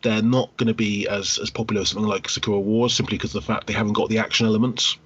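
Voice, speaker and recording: A man co-hosting a casual gaming podcast, husky, rambling and qualifying his points as he goes. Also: on the low side, level tone, very fast, slight background noise. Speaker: podcast co-host, game collector. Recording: slightly muffled podcast audio.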